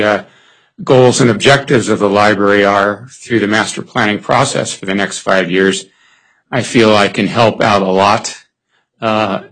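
Hoarse older man, gravelly and low. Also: a bit bright, low-quality recording. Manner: halting, many fillers and restarts. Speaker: applicant for a board seat. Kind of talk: answering interview questions at a public meeting.

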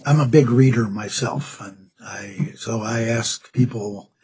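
A man's voice: medium pitch, darkish tone, slightly rough. A man on a public board, asking an applicant a question in a hesitant way. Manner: hesitant, slow. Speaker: board member.

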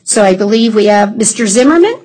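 A woman's voice: mid-range voice, animated, fairly quick, a little mellow, poor audio. A woman chairing a public board meeting, polite and procedural as she calls items and asks questions.

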